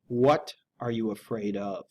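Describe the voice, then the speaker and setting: A man voicing a courteous, firm gentleman lawyer in a measured, questioning manner, as in an audiobook. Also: fairly low pitch, steady tone. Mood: firm, coaxing.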